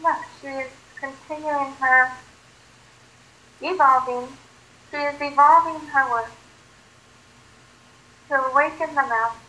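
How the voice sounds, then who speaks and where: High, bright, very slow solemn woman. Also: fairly even intonation, low-quality recording. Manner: deliberate, declarative, long pauses between phrases. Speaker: subject answering in a guided visualization. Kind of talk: channeled, solemn short answers.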